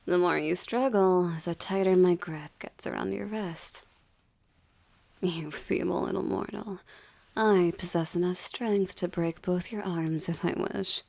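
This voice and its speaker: A seductive demoness, acted by a woman, gloats over a captive in an intimate roleplay drama. She speaks slowly in a dark, mellow voice with a gravelly creak. Her haughty, teasing lines often slip into breathy whispers. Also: fairly low pitch. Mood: teasing, menacing.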